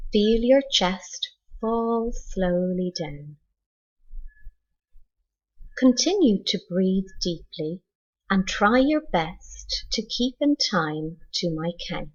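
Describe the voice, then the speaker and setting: A woman with a husky edge to her voice leads a guided meditation at a very unhurried pace. She gives measured, teacherly instructions with deliberate pauses between phrases. The recording is a little dull and lo-fi. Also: mid-range voice, darkish tone. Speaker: teacher leading mindful meditation for students.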